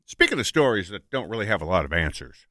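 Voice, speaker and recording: A man's voice: fairly low pitch, very animated, quick pace, sharp tone, dry recording, clean studio sound. A man speaking as a talk show panelist in a casual discussion.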